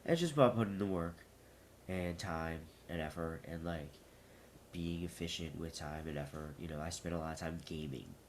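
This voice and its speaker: A man's voice: low-pitched, normal speed, a little hiss or hum. A man co-hosting a casual vlogcast, stuffy and sniffly, talking in a wry, rambling way. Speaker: vlogcast co-host. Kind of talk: casual chat.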